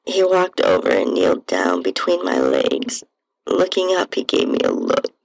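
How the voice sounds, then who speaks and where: Husky, creaky-voiced woman narrating slowly. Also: on the low side, wildly swooping pitch, darkish tone, low-quality recording. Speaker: podcast host and narrator. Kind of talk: intimate first-person audiobook-style story narration.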